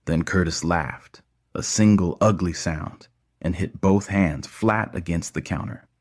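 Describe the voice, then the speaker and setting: A man's voice: sits low, measured pace, darkish tone. A man narrating a scripted audio story.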